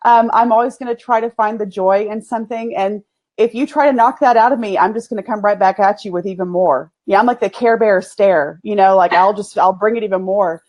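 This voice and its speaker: A woman's voice: medium pitch, brisk, darkish tone. Upbeat woman with an East Texas accent. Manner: laughs often, folksy and upbeat, restarts phrases. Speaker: guest, agency owner. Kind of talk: casual interview chat.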